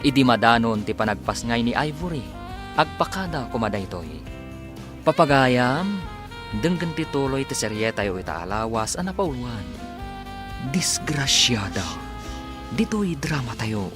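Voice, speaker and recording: A man's voice: medium pitch, sing-song, a little slow, pauses often. A man with an Ilocano accent announcing and narrating a radio drama in a dramatic scripted style. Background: music.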